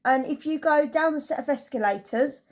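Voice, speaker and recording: A woman's voice: sits high, moderate pace. A woman giving a casual, chatty holiday review as a travel vlogger, telling it through anecdotes.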